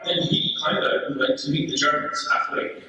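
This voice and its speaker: A man's voice: on the high side, fairly even intonation, moderate pace, lightly acted. A man playing a thoughtful British soldier telling his story in a letter home, performed as readers theater. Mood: storytelling. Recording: very echoey room, distant mic, faint noise.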